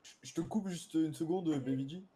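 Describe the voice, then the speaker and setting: A man's voice: fairly high pitch, brisk, dark tone. A man moderating an online game, speaking casually, politely and hesitantly. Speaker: game server staff moderator. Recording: faint noise on game voice chat.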